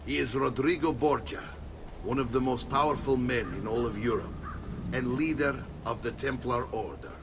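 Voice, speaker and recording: A man with an Italian accent playing a gruff, hearty middle-aged uncle and fighting leader in a video game cutscene. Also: mid-range voice, moderate pace, noisy background, close to an everyday voice. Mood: calm, firm. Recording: loud orchestral game music behind.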